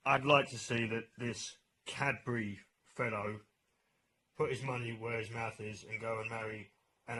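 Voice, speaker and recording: A man's voice: lowish voice, fairly bright tone, rough recording. A British man giving a mocking opinion rant as an online commentator, with a sarcastic, exasperated, informal manner.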